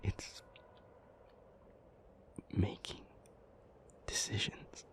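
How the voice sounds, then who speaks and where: A man playing an intense, anguished demon in an intimate audio roleplay, speaking in breathy, halting, deliberate phrases. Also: a bit bright. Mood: grim, intent. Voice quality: breathy, mostly whispered. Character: intense, anguished male demon, brooding and reasoning aloud.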